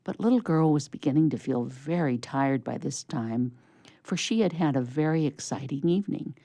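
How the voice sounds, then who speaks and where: A woman narrates a children's story, reading aloud to young listeners. Her voice is low and soft-edged, with some fry, recorded close and dry. The delivery is measured and scripted. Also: dry recording. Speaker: story reader.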